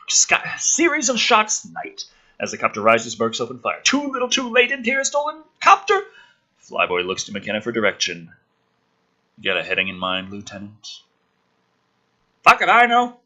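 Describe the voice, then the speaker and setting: Forceful man with wildly animated delivery. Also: medium pitch. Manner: theatrical, exasperated, foul-mouthed. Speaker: host of a gaming and film channel. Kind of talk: sarcastic dramatic script reading with ranting commentary.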